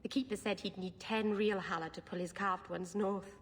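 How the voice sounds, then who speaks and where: A woman's voice: medium pitch, fairly even intonation, normal speed, husky, close to an everyday voice. A woman playing an earnest, stubborn and sensitive young elf mage in a video game. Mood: soft, wistful. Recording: long hall-like reverb.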